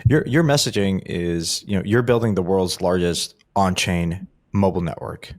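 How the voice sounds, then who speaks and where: A man's voice: low-pitched, normal speed, a little mellow, no echo, clean studio sound. A man hosting a podcast, asking long, rambling questions full of restarts and "like". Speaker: podcast host.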